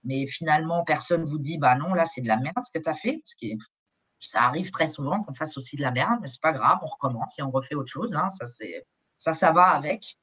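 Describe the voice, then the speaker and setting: A woman's voice: low voice, animated, fairly quick, fairly bright tone, husky. Chatty middle-aged woman, German native. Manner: chatty, colloquial, many fillers and restarts. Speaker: agency director, guest. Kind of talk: casual live interview.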